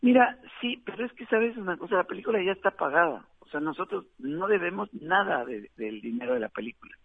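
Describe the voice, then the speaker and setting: A man's voice: sits high, a little fast, vocal fry, slightly rough, dry recording. Mexican man on a tinny phone line. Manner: relaxed, chatty, frequent fillers, laughs easily. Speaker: film director. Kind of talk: radio interview.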